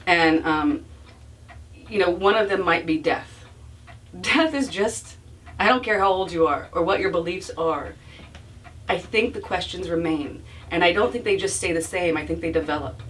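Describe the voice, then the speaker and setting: A woman's voice: fairly low pitch, moderate pace, a bit bright. A woman author speaking informally at a bookstore event, reflective and self-deprecating, often restarting her phrases as she explains her work. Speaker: author being interviewed about her book. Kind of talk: informal spoken book talk with audience. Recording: distant mic, faint room noise.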